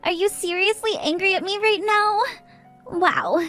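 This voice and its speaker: A woman's voice: very high-pitched, measured pace, bright, forceful tone, soft background music, voice pushed up, exaggerated voice. A woman voicing a drunk, mocking young woman who teases in a sing-song voice and keeps breaking into cackling laughter.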